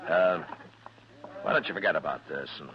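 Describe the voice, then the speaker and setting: Very deep-voiced Western marshal. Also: male voice, lively intonation, moderate pace, a crowd in the background. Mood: concerned, fatherly. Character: steady, weary adult US marshal in a Western town. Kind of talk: 1950s radio Western acting with first-person narration. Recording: dull vintage radio recording with music.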